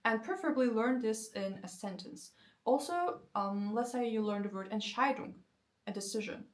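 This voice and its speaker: A woman in her twenties, a native Turkish speaker, explaining language learning in a casual, lively way and slowing down for example sentences. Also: a little high. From Turkey. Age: 20s. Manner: lively, conversational, slows down to spell out example sentences. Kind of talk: casual explanatory talk to camera.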